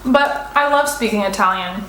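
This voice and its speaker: A woman's voice: mid-range voice, normal speed, a bit bright, slight background noise. Chatty young woman, echoey restaurant. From United States. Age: 20s. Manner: chatty, rambling, many fillers and restarts. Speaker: vlogger. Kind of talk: casual mukbang chat, answering viewer assumptions.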